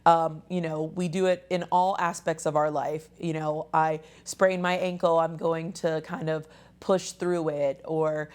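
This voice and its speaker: A woman hosting a health talk show, her voice raspy and a little hoarse, speaking conversationally with frequent fillers. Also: low voice, level tone, measured pace, darkish tone. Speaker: host.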